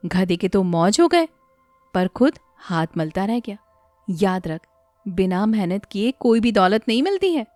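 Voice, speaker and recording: A village landlord in a cartoon moral tale, mocking and full of admonishment, played by a woman narrator. He lectures with very lively intonation over faint background music. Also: medium pitch, measured pace, no echo. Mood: admonishing. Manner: scolding, lecturing. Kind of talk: animated moral story voice acting.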